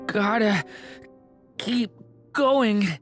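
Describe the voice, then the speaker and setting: A woman voicing a gentle young dragon boy in a video game, earnest and halting. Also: medium pitch, faint music behind. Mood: weak, fading.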